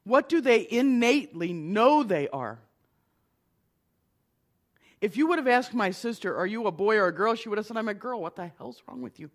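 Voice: mid-range voice, animated, normal speed. A middle-aged minister giving a conversational lecture to an audience, with wry asides.

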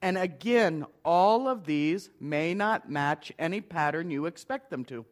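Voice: medium pitch, lively intonation, measured pace. A middle-aged minister giving a conversational lecture to an audience, with wry asides.

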